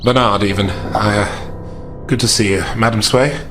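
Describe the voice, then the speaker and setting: Male player as grave investigator for a tabletop horror game: low, understated, courteous, with music underneath. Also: fairly even intonation, measured pace, natural voice. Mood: grave, measured. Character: polite, grave male investigator reporting grisly findings.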